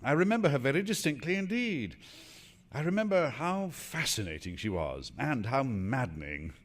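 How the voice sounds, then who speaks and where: An actor playing an urbane, flippant middle-aged husband in a stage comedy, speaking with a dry, teasing British delivery. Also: male voice, mid-range voice, very animated, normal speed, natural voice. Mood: wistful, wry. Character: witty, flippant, urbane remarried English husband. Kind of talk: stage comedy radio acting.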